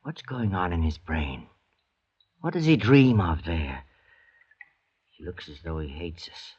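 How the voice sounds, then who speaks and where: An actor playing an anxious, brooding convict forger in an old radio drama, speaking in a fretful, halting way. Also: male voice, deep voice, very animated, a little mellow, slightly rough, heavily acted. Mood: uneasy, brooding. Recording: dull, hissy old radio recording.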